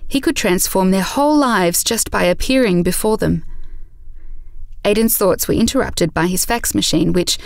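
A woman narrating an audio drama from a script in a measured storytelling manner. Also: mid-range voice, creaky, no echo.

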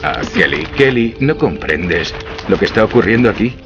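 A man's voice: mid-range voice, very animated, moderate pace, gravelly, lo-fi sound, over music. An actor playing a worried, exasperated father in an adventure game, with a dry, scolding manner.

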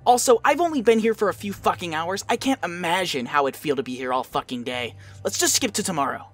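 An actor voicing an irritable, foul-mouthed young male troll in an animated comic dub, exasperated and sarcastic. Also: medium pitch, fairly quick, soft background music.

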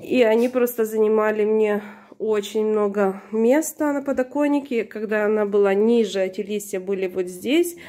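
A woman narrating her own home video casually and hesitantly, slightly hoarse from being unwell. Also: medium pitch, steady tone, normal speed, mellow. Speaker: plant vlogger. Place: indoors, room in a flat. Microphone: handheld camera or phone, behind the camera.

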